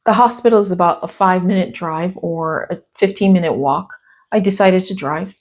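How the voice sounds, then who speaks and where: A woman's voice: lowish voice, moderate pace. An American woman vlogging casually, telling a story from her notes with quoted asides, her voice still worn from a cough. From United States. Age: middle-aged. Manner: chatty, reading from notes, quoting others in asides. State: recovering from a cough and lost voice.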